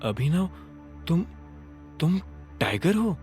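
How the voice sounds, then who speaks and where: A man's voice: on the high side, sing-song, takes it slowly, darkish tone. Guy doing cold strict young woman, haughty, clipped, audio drama, faint music behind. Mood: stunned.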